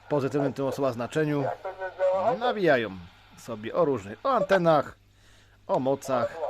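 A Polish man giving an informal, unscripted hands-on gadget demonstration as a hobbyist, explaining in a halting way. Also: medium pitch, rough recording. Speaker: CB radio hobbyist presenter.

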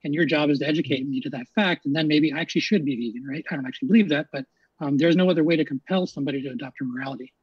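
A man talks fast in a slightly raised, husky voice as he makes his points in a debate. His audio is dry, dull and lo-fi, like a weak call line. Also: on the high side, dry recording. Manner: fast-talking, probing.

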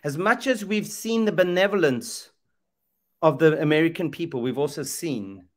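A man's voice: a little high, expressive, normal speed. Emphatic man preaching on a video call. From Zambia. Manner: emphatic, repeats phrases, asks rhetorical questions. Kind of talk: impassioned preaching talk. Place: indoor room, video call. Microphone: webcam video call.

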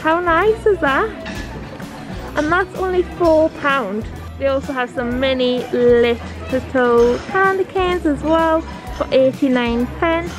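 A woman's voice: high-pitched, slow pace. A woman in her thirties from Newcastle with a Geordie accent, vlogging a shopping trip and chatting eagerly about prices and finds. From Newcastle upon Tyne, England. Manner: chatty, enthusiastic, reads out prices. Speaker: family vlogger. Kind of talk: casual shop-with-me vlog. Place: large indoor discount store. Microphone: handheld camera. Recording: shop music and crowd noise behind. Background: store music and shoppers.

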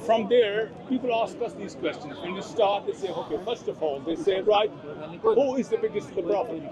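High-voiced man amid crowd noise. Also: moderate pace. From India. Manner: earnest, long-winded explaining with many restarts. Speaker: visiting debater. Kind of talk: street debate. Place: outdoor park. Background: crowd chatter.

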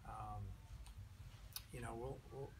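A man's voice: mid-range voice, fairly even intonation, slow pace. A man coaching a college baseball team, answering reporters after a game in a plain-spoken way, with a lot of "you know" fillers. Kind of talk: postgame press conference answers. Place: outdoor press area with sponsor backdrop. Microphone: table mics, recorded from a distance. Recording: distant mic with outdoor noise.

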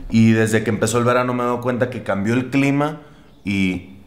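A man's voice: medium pitch, brisk, smooth. A young man with a Mexican accent, talking casually to a handheld camera as he walks around the city. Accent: Mexican Spanish. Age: young adult. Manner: chatty, talking while walking with a handheld camera. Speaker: vlogger. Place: city streets and shops outdoors. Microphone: handheld camera at arm's length. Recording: outdoor street noise.

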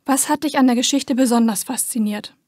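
High-voiced woman interviewer, mellow tone. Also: fairly quick, no room sound, clean studio sound. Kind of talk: prepared interview questions.

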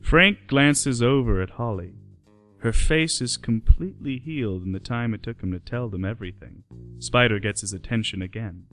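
A man's voice: medium pitch, moderate pace, fairly bright tone, soft background music. A man narrating a radio play in scripted storytelling style.

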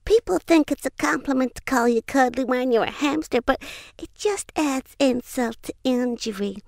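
A self-pitying little hamster, fed up with being cuddly. The comic voice is high and sorry for itself, with a dry close sound. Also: female voice, normal speed, gravelly, a little mellow, no echo. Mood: glum, whiny. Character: glum, self-pitying chubby female hamster. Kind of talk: comic character monologue.

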